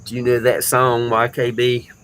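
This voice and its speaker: A man hosting a livestream, chatting casually and slowly to a viewer. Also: medium pitch, monotone, fairly bright tone, slight background noise. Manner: slow, drawn-out, addresses a viewer by initials. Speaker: livestream host.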